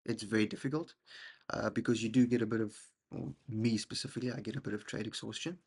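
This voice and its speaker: A young man, a husky voice and a rambling, self-deprecating manner, walking through trades and often checking in with "okay?". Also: fairly low pitch, fairly even intonation, fast talker, a little mellow. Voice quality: gravelly, husky. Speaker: trading channel host. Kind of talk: casual screen-share trading walkthrough.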